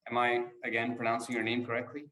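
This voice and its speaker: A man's voice: medium pitch, completely flat delivery, fast, sharp tone. A man moderating a games panel, friendly and joking with his guests. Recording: echoey room.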